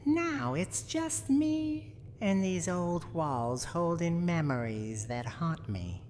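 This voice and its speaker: A woman playing a cranky, lonely, menacing old granny from a horror game in a slow, theatrical, haughty roleplay monologue. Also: lowish voice, sing-song, darkish tone. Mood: wistful. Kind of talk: dramatic scripted roleplay monologue, ASMR audio drama. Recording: long hall reverb, audible background noise.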